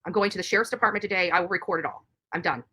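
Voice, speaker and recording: A woman speaks at a rapid clip in a bright, forceful voice. She is a live streamer on an indignant rant, hammering points home by repeating them. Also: lowish voice, slightly rough. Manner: emphatic, indignant, repeats herself.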